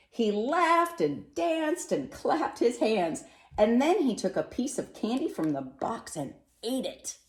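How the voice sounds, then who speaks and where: A woman reading a children's picture book aloud in an expressive, animated way, with dramatic pauses and emphasis. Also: on the low side. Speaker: storybook reader. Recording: roomy, echoey sound.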